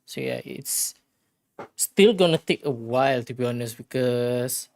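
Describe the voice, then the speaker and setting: A game livestreamer chatting casually, rambling and halting while thinking aloud. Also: mid-range voice, vocal fry. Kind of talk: casual gaming stream chat.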